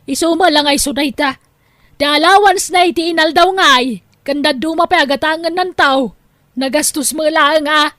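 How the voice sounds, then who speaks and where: A woman's voice: sits high, normal speed, bright, forceful tone, no room sound, studio quality. An actress playing a money-minded, doting mother and nagging wife in an Ilocano radio drama, theatrical and exclaiming, with an Ilocano accent. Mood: dismissive.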